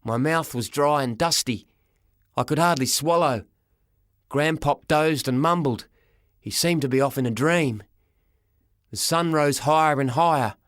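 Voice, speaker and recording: Higher-pitched male audiobook narrator, very dry. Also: a little slow, long pauses, a bit bright, no echo. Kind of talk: scripted first-person story narration.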